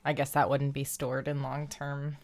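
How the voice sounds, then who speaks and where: A woman's voice: very deep voice, monotone, moderate pace, faint music behind. A woman streaming a game with casual, amused live commentary and giggly asides.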